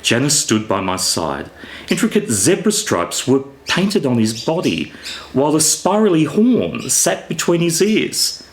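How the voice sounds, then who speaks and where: Slow, lively-voiced man reading a story. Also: a little high, rough recording. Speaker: author reading from own novella. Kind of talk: expressive scripted story reading.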